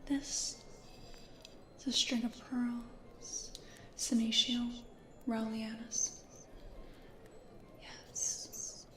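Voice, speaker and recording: A woman playing a gentle, anxious, plant-loving American ghost from the 1800s in a soft, hesitant, breathy whisper for an ASMR roleplay. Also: a little high, little pitch movement, very slow, pauses often, soft background music. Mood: tender, proud. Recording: roomy echo, audible background noise.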